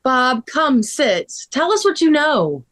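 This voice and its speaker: A woman reading the part of a sympathetic, concerned friend in a drawn-out, expressive way at a table read. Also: high-pitched, exaggerated voice. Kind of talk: table read of a scripted story.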